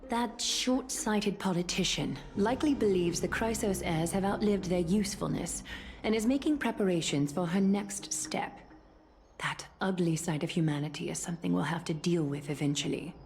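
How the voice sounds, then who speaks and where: Woman acting regal, low-voiced commanding ruler. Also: darkish tone, slight background noise, close to an everyday voice. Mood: grave. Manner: formal, measured, authoritative. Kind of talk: dramatic game voice acting. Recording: music behind the voice.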